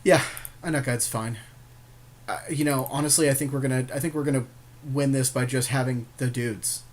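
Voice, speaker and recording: Medium-pitched man in casual podcast chat. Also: steady tone, normal speed. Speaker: podcast co-host.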